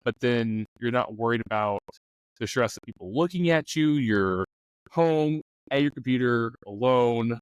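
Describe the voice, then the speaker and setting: A man with a smooth, clear voice and a mid-range pitch talks casually in a dry, close recording. He is loose and informal, thinking aloud as he gives advice as one of a panel. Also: expressive, unhurried, no room sound, highs cut off. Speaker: discussion panelist on a game master advice show. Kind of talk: casual chat.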